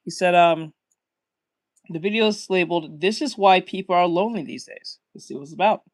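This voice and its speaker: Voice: medium pitch, fairly quick. Give me a blunt, offhand reaction host with a mid-range voice. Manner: offhand, swearing, trailing off quietly. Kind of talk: casual reaction commentary.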